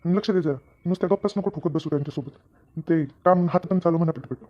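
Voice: medium pitch, quick pace, warm tone, muffled recording, natural voice. A performer voicing a practical, good-natured male farm owner who nags his workers in a comedy cartoon, with a Maharashtrian accent and colloquial delivery. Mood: nagging. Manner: colloquial, nagging, instructing. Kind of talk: Marathi comedy cartoon dialogue.

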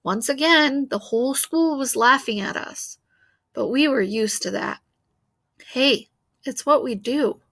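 Bright, high-voiced woman reading aloud. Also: unhurried, creaky. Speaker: read-aloud reader. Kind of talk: expressive children's book read-aloud.

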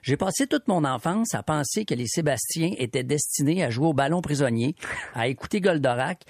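A Québécois man in his fifties delivers a humorous radio column with a wry storyteller's touch. His voice is slightly high, and the sound is close and dry. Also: lively intonation, a little fast, dry recording. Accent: Québécois French. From Quebec City. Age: 50s.

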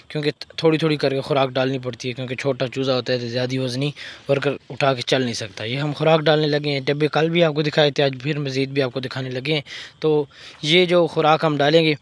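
A man running a farm, gives a casual step-by-step walkthrough while he works outdoors and films on his phone. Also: mid-range voice. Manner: chatty, explains each step as he works. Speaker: poultry farm owner vlogger. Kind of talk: casual walkthrough vlog narration. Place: outdoors on a farm, cooking over a fire. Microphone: phone held by the speaker.

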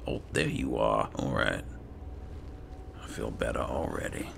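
A man's voice: extremely low pitch, unhurried, creaky, background noise. A man giving in-character commentary on a video game, muttering sparse remarks to himself over game ambience. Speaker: gaming channel host.

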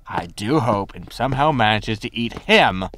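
A man's voice: on the low side, expressive, moderate pace, fairly bright tone, a little hiss or hum. A man playing a greedy, breezy, disgraced space haulage captain in a sci-fi comedy audio drama, flippant and full of excuses. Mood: dismissive. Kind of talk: science fiction comedy audio drama acting.

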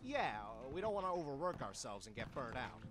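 A man's voice: mid-range voice, big pitch swings, fairly quick, over music, close to an everyday voice. A man voicing a small, whiny slacker animal in his twenties, slangy and complaining, for a cartoon adventure game. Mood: sluggish, doubtful. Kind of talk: voiced game dialogue.

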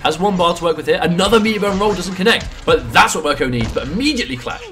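A British man with a head cold and a congested voice, doing quick analytical commentary on a fighting game. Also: sits high, animated, music in the background. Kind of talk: esports commentary.

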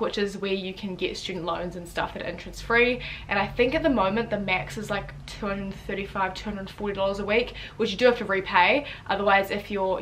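Fast-talking young New Zealand woman. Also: mid-range voice, a bit bright, a little hiss or hum, rough recording. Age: young adult. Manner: chatty, fast, lots of "like" fillers, gestures with hands. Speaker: vlogger, university student. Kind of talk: casual chat to camera. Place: bedroom, indoors. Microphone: camera in front at chest distance.

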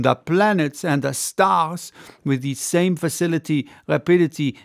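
A man's voice: a little high, gravelly. A man voicing a cheerful, confident French adventurer giving an emphatic public speech in a storybook. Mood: visionary, confident. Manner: oratorical, emphatic. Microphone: close studio microphone on desk.

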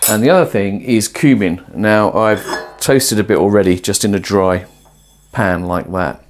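A man in his forties talking to camera while cooking in a small home kitchen, conversational and musing, with lively emphasis. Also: lowish voice, unhurried, a little hiss or hum. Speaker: presenter of a cooking and philosophy video. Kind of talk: casual explanatory talk to camera while cooking. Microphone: lapel mic.

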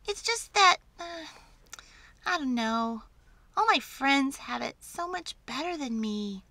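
A woman's voice: high-pitched, animated, takes it slowly, pushed and bright, dry recording, voice pushed up, heavily acted. A woman voicing a shy, self-doubting little boy spoon in a storybook, hesitant and whiny. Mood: glum, envious.

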